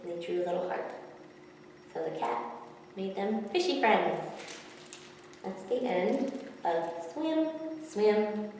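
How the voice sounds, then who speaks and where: A woman's voice: medium pitch, slow pace, pauses often. A woman in her thirties hosting a children's story time, reading expressively with casual asides. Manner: expressive, speaking to young children. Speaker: children's librarian reading picture books. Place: indoor room before a forest backdrop. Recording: echoey room, distant mic, faint noise.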